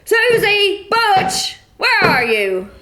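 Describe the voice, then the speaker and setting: A woman's voice: very high voice, very animated, drawn-out words, background noise, full character voice. A woman voicing a bossy, blunt, middle-aged widow from a cattle ranch, speaking. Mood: bossy, folksy. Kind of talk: radio play acting.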